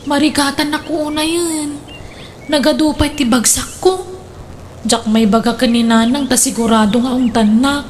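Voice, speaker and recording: A woman acting as an anxious college girl in a radio drama. Her voice is very high, and there is some background noise on the track. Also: fairly even intonation, moderate pace, close to an everyday voice. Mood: pleading, resigned. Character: anxious young female student hiding a pregnancy.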